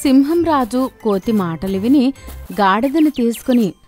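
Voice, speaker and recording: A woman's voice: fairly high pitch, expressive, a little slow, a little mellow, faint music behind. A woman narrating a scripted children's story.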